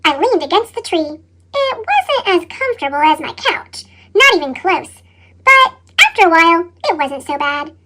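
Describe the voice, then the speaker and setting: A woman reads a children's picture book aloud in an animated, expressive way, with her voice altered by a sped-up chipmunk effect. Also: moderate pace, noisy background. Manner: exaggerated, expressive delivery with acted sound words. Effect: sped-up chipmunk pitch shift. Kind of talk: animated read-aloud storytelling.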